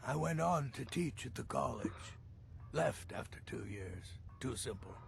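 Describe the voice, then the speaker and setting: A crotchety old wizard, from a fantasy game. His voice is deeply creaky and swings widely in pitch as he boasts with morbid, theatrical relish. Faint noise sits behind him. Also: lively intonation, a little slow. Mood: boastful, wry. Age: older. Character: cranky old mage uncle, boastful, morbid, aloof loner.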